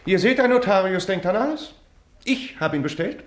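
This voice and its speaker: An actor playing an officious, self-important Venetian notary in a German radio drama, speaking formally and pompously. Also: male voice, sits high, expressive, moderate pace.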